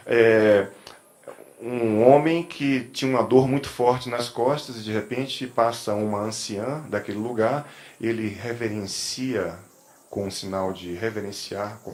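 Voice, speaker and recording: A man with a Brazilian accent from Bahia gives an informal explanatory lecture as a therapist and trainer, rephrasing and repeating words as he goes. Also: medium pitch. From Salvador, Brazil. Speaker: therapist and trainer giving a talk. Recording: clear background room noise.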